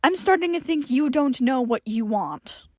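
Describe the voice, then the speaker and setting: Casting: foul-tempered immortal man for audio fiction female performer, sardonic and blunt, raised pitch. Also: lively intonation, moderate pace, no room sound, studio quality. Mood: exasperated. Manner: blunt, sarcastic.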